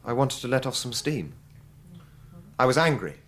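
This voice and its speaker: Earnest, expressive young witness. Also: male voice, medium pitch, a little hiss or hum. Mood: tense recollection. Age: young adult. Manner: earnest, emotional, halting. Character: distressed, defensive young man testifying at inquest. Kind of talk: radio drama acting.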